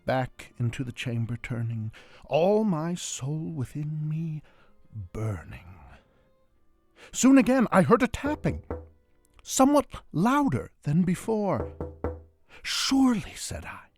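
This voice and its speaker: A man giving a theatrical dramatic recitation of a gothic poem, moving between hushed tones and loud outbursts, over a string quartet score. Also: a little high, big pitch swings, slow, pauses often, faint music behind. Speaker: amateur reader of poetry. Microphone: close handheld portable recorder.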